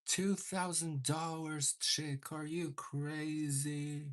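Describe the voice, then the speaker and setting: A man's voice: on the high side, little pitch movement, very slow. A young man whose first language is Portuguese chats casually while doing his makeup, with dramatic bursts of mock outrage. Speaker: beauty vlogger.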